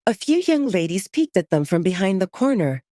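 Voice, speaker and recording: Expressive female story narrator, very dry sound. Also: mid-range voice, normal speed, no echo. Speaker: audio drama narrator. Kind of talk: scripted dramatic story narration.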